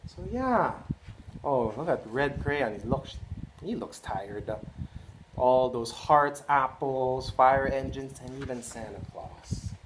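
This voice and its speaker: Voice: medium pitch, expressive, slow pace. Chatty children's book reader. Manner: gentle, chatty, asks children questions. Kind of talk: read-aloud for kids. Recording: roomy echo with faint noise.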